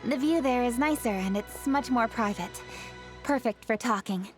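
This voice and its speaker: A woman's voice: fairly high pitch, moderate pace, natural voice. A woman voicing a poised, diligent young noblewoman official in an anime game, formal, composed and earnest. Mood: polite. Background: music.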